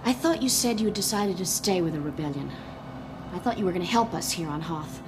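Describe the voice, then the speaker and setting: An actress playing a proud, sharp-tongued young princess in a radio drama, formal and defensive, with music behind her. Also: on the low side, animated, a little fast, close to an everyday voice. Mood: earnest, urgent.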